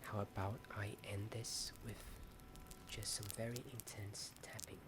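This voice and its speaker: A man whispering a hesitant, rambling ASMR chat in a soft, often breath-only voice. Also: on the low side, level tone, a little slow. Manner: hesitant, rambling, self-deprecating. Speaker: ASMR video host. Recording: strong ambient noise behind whisper. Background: added ambience sound.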